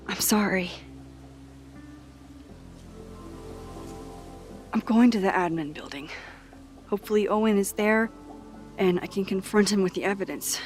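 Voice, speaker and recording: A woman playing an earnest, gentle young woman in a video game drama, soft-spoken and hesitant. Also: mid-range voice, moderate pace, long pauses, lightly acted. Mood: apologetic, tender. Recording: studio voice under background music.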